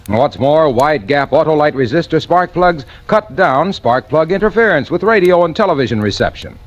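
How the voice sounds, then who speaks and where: A man's voice: on the high side, expressive, normal speed. An American man delivering a peppy, folksy scripted advertisement as a 1940s radio announcer. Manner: folksy salesmanship. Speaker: commercial announcer. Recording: dull, muffled vintage radio recording.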